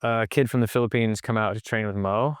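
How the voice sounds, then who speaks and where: A man hosting a podcast, asking questions in a relaxed, friendly way. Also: lowish voice, brisk, clear, resonant tone, dry recording, studio quality. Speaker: podcast host. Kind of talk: casual interview.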